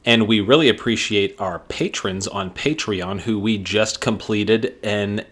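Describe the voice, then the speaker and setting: A woman in her thirties co-hosting a podcast, chatting playfully and sharing one microphone with her co-host. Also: rumbling low. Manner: playful, quick banter. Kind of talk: casual chat.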